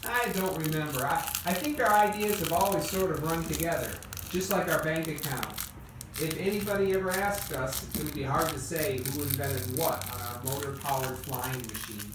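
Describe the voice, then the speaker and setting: A woman's voice: low-pitched, moderate pace, lightly acted. Practical inventor brother, voiced by a woman, very echoey. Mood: calm, practical. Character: Wilbur Wright, practical, encouraging brother and inventor. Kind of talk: amateur family radio play. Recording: echoey room, distant mic, noisy hiss.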